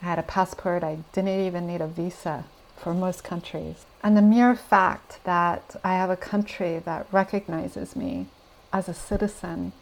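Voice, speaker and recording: An American woman speaks as a podcast guest, earnest and reflective. Her voice sits low with a gravelly edge. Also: poor audio. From United States. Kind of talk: casual interview answers.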